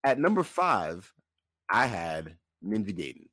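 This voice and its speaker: A man's voice: lowish voice, very animated, a little slow, pushed and bright, dry recording, studio quality. A man co-hosting a podcast in casual banter, with an emphatic, mock-incredulous delivery. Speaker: podcast co-host.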